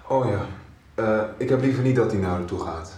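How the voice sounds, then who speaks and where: A man's voice: fairly low pitch, fairly quick, a little mellow, natural voice. Brusque young man in an echoey room actor. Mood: evasive, uneasy. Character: brusque, evasive young man, protective son.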